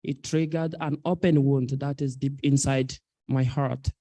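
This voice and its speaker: A man in his twenties from South Sudan, an activist speaking earnestly at a public talk, with halting delivery and many fillers. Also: medium pitch, fairly even intonation, dark tone, dry recording. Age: 20s. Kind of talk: personal testimony at a public talk.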